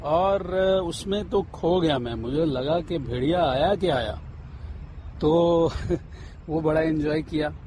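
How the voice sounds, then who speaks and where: A man's voice: fairly high pitch, takes it slowly, warm tone, a little hiss or hum. A man chatting casually as an interview guest. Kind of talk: casual chat.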